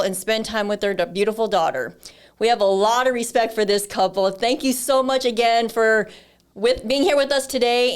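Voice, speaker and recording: A woman's voice: a little high, clear, resonant tone. A woman co-hosting a podcast, reading out a guest introduction from a script and stumbling over a few words. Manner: reads from a script with small slips. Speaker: podcast co-host.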